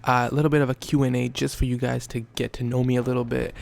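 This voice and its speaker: A young man from Canada, rambling and joking through a casual question-and-answer vlog, with a raspy voice because he just woke up. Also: medium pitch, little pitch movement, fast talker. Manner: rambling, self-correcting, joking. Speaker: YouTuber.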